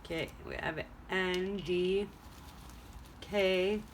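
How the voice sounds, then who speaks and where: Chatty low-voiced woman reading cards. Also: steady tone, extremely slow, a little hiss or hum. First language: French. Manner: chatty, rambling, thinking aloud. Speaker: tarot reader. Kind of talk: casual card-reading vlog. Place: home room.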